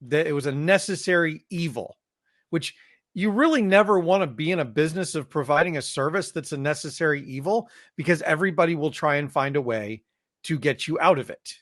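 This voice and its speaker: A man's voice: a little high, brisk, a bit bright, no echo, studio quality. A man co-hosting a podcast, talking in an opinionated, emphatic casual way. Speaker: podcast co-host. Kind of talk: casual chat.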